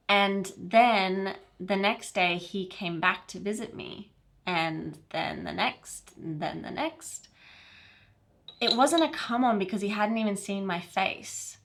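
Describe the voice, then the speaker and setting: A woman's voice: lowish voice, gravelly, fairly bright tone. A woman playing a chatty newlywed who confides a romantic tale in a conversational comedy monologue.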